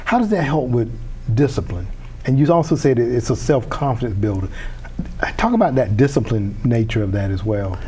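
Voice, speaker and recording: A man's voice: mid-range voice, expressive, a little fast, warm tone, a little hiss or hum. A man hosting a local interview show in an upbeat, chatty way, often repeating words and restarting sentences. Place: local TV studio.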